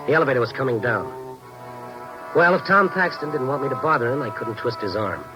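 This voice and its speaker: A man's voice: mid-range voice, expressive, a little fast, lightly acted, low-quality recording. An actor playing a world-weary, compassionate American newspaper reporter who narrates his own story in 1950s radio noir style. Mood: somber narration. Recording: vintage 1950s radio recording with music behind. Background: dramatic underscoring music.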